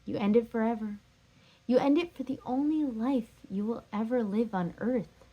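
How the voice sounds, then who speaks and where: A woman's voice: fairly high pitch, moderate pace, slightly rough, highs cut off, voice pushed up. A woman performing a stage drama role as a heartbroken, insistent jilted lover, pleading emphatically and repeating herself. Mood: anguished, accusing. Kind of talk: stage drama scene and monologue.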